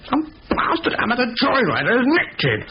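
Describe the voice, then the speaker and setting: An actor playing a brash small-time crook in a radio drama, talking in a blunt, colloquial way. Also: male voice, very high voice, wildly swooping pitch, a little fast, a crowd in the background, full character voice. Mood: exasperated. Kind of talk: 1970s radio drama acting. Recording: dull, hissy old radio broadcast.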